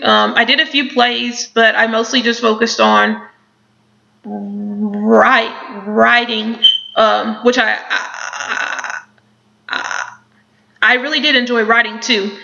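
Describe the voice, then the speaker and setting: Young woman, stutters with repetitions and blocks, thoughtful, gravelly fry, dull lo-fi sound. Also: on the high side, bright, forceful tone. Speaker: person who stutters answering questions. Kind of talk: self-interview, reading questions and answering.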